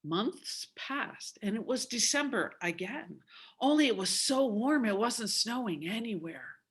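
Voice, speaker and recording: A woman in her sixties telling a story theatrically, with deliberate, dramatic emphasis. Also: a little high, expressive, breathy edge. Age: 60s. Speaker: storyteller at a speaking club.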